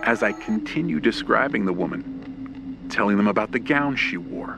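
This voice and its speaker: A man narrating a scripted first-person horror story in old radio play style, with a dramatic, halting delivery. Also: on the low side, expressive, over music. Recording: clear background noise with ambience and vehicle sounds. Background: rain, ambience and vehicle sound effects.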